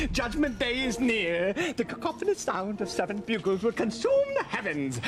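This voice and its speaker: A man's voice: very high voice, full character voice. An actor playing an eccentric, pious old doomsayer in a video game, rambling theatrically and drawing out his words. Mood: fire-and-brimstone. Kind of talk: dramatic video game acting.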